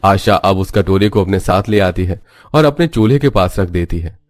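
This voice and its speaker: A man serves as narrator of a cartoon folk tale. His voice is on the low side. He reads scripted narration in a dry, echo-free recording. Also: animated, normal speed. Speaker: storyteller, narrator.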